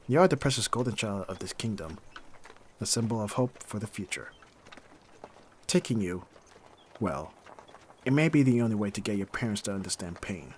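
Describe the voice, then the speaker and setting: A man playing a bitter, hardened young thief in an ASMR roleplay, speaking with mockingly courteous, formal phrasing and pointed pauses. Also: fairly low pitch, slight background noise. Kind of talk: scripted ASMR roleplay acting.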